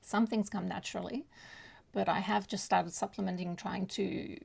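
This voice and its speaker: Woman, native German speaker, heavy vocal fry, breathy husky tone, chatty vlogger monologue, hesitant and meandering. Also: mid-range voice, steady tone, moderate pace, a little mellow. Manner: rambling, hesitant, often losing her thread. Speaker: book vlogger. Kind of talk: casual, chatty monologue to viewers.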